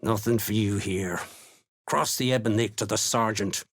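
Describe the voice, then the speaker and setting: Husky, low-voiced slaver brute. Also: male voice, fairly even intonation, moderate pace, fairly bright tone. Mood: menacing. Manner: curt, threatening, contemptuous. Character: gruff, menacing slaver serving a dark cult. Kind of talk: video game character dialogue.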